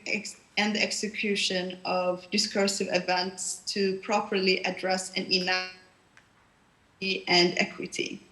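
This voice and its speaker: A woman presenting an institution's exhibition program at a panel, often pausing with fillers and restarting words. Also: mid-range voice, fairly bright tone, roomy sound, low-quality recording. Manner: hesitant, frequent fillers and restarts.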